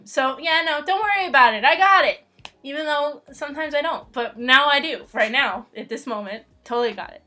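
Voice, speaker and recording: A woman's voice: medium pitch, pushed and bright. A woman chatting casually as a channel host, giggly, rambling and self-deprecating. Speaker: webcomic artist and channel host. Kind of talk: casual chat with sister, answering questions. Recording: music under the voice. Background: background music.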